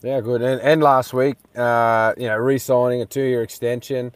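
Aussie co-host, mid-pitch, ribbing the guest, dry close sound from his car. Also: little pitch movement, moderate pace, clear, resonant tone, no echo. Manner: teasing, chatty, lots of fillers. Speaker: co-host, rugby league player. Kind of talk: casual radio chat.

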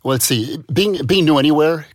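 Fast-talking easygoing man. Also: on the high side, no echo, clean studio sound. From New York, USA. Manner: fast and easygoing, frequent fillers. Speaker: podcast guest. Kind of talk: casual chat. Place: studio.

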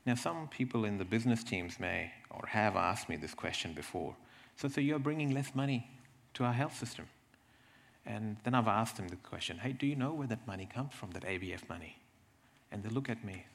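A man giving a slide presentation, explaining things in a halting way with repeated words and asides. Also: medium pitch, creaky. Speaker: presenter from a health service.

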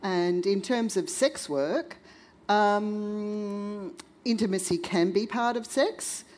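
A woman's voice: mid-range voice, slow pace, a little mellow. A woman speaking on a discussion panel, frank and conversational, checking in with her listeners.

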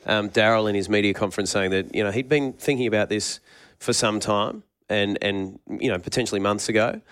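A man's voice: lowish voice, fairly quick, a bit bright, clean tone, no room sound. A man asking long, probing interview questions, hesitant as he searches for words.

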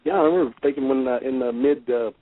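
A middle-aged man with a Kentucky accent hosting a radio show in a folksy, halting way. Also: medium pitch, dry recording. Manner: folksy, halting, laughs often. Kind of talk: casual radio interview. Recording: tinny phone-line radio audio.

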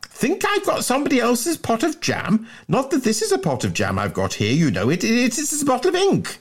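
A man's voice: very high-pitched, huge pitch swings, a little fast, husky, pitched above the performer's own voice, exaggerated voice. A man voicing a fat, flustered schoolboy from a storybook, greedy and indignant, who stammers and blusters out his excuses. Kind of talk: storybook character voice in a dramatic reading.

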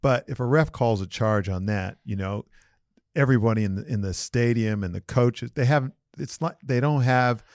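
A man co-hosting a sports podcast, talking in a loose, slangy and opinionated way. His pitch sits in the middle, and the sound is dry and close with no room around it. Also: normal speed, darkish tone, dry recording. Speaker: podcast co-host. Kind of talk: casual sports chat.

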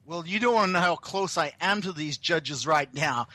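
A man's voice: fairly high pitch, moderate pace, pushed and bright, no echo. A man playing a brash, crude older surf industry boss, calling in and rambling boastfully. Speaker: caller on satellite phone.

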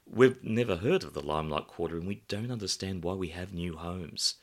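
A man's voice: low voice, a little fast. A man voicing an outspoken, bewildered camp male friend in a novel, emphatic and direct.

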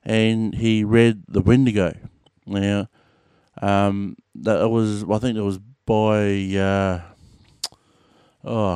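A man's voice: on the low side, dark tone, clear, resonant tone, dry recording, studio quality. A man with an Australian accent hosting his podcast in a casual monologue, rambling with lots of fillers and the odd swear word. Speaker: podcast host. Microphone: podcast mic.

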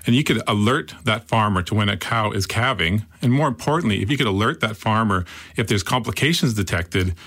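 A man with a Canadian accent, a founder explaining his product in an interview with quick enthusiasm. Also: on the low side, lively intonation, a bit bright. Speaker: startup founder. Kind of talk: interview answers.

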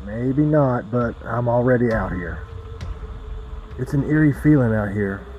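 A man's voice: medium pitch, measured pace, narrow, tinny audio, a little hiss or hum, lightly acted. A man playing a reckless, foul-mouthed stoner in an audio drama, talking in loose slang. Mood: defiant, frustrated. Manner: slangy, sweary, colloquial. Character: reckless, foul-mouthed redheaded stoner guy stuck in quarantine. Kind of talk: audio drama dialogue over hand-held radio.

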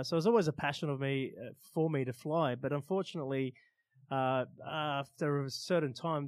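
Male Australian storyteller, conversational, average pitch, unhurried hesitations between phrases, dry room sound. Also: dry recording. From Australia, born in Iran. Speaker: interview guest, stunt pilot and motivational speaker. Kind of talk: casual storytelling in an interview.

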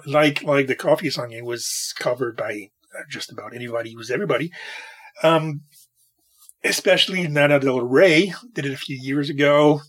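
Raspy late-night podcast man. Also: fairly high pitch, fairly bright tone. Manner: opinionated, rambling, talks to himself. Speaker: podcast host. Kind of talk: casual solo music podcast. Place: home recording at night.

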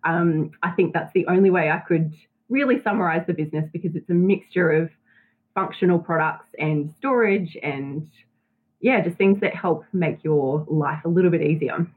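Australian woman in her thirties, husky and lowish, tinny phone-like line, chatty guest. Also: moderate pace. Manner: chatty, laughs often. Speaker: guest, business owner. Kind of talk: casual interview.

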